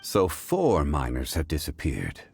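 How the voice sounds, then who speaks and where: An actor playing a composed, scholarly gentleman investigator in a video game, speaking in a formal, measured, analytical way. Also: a bass voice, huge pitch swings, creaky, soft background music, full character voice. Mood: calm, analytical. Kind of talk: video game character dialogue.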